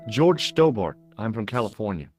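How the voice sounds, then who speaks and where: Game hero: easygoing young American abroad, dry wit, very varied melody in the voice. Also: male voice, on the low side, very animated, moderate pace, over music. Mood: casual, wry. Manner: sardonic, conversational. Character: wry, easygoing young American male tourist. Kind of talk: video game dialogue and narration.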